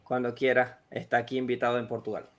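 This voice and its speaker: A man with a Venezuelan accent co-hosting a video call, friendly and halting with restarts. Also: mid-range voice, completely flat delivery, crowd noise. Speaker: co-host. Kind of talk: casual chat.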